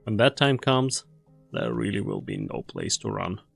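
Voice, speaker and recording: A man playing a weary, fatalistic mercenary in an anime game fan dub, speaking gravely and deliberately. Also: on the low side, huge pitch swings, soft background music, heavily acted. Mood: grave, resigned.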